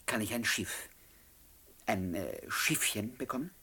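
Lively, swooping, cheeky con man. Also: fairly low pitch, a little slow, husky, exaggerated voice. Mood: mock-polite, sly. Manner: playful, theatrical, mock-courteous. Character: cheerful, penniless, cheeky con man in southern France. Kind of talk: 1960s German radio comedy acting. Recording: faintly noisy 1960s radio recording.

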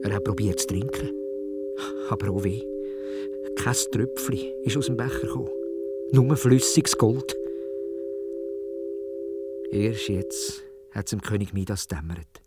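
A man with a Swiss German accent telling a children's story in a dramatic, expressive way, speaking directly to young listeners. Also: medium pitch, husky. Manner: dramatic, addresses young listeners with questions and exclamations.

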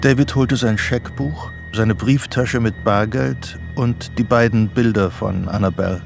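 A man narrating a psychological thriller in a radio play, reading literary prose in a measured, serious way. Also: lowish voice, fairly even intonation, vocal fry. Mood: sober. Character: sober third-person storyteller of a psychological thriller. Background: music.

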